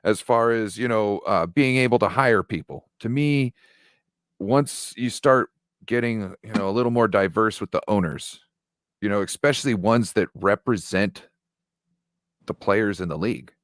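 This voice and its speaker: A man's voice: fairly low pitch, normal speed, no echo, studio quality. A man co-hosting a sports fan show in casual, slangy banter, often checking in with the listener. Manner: slangy, informal, peppers talk with check-in phrases.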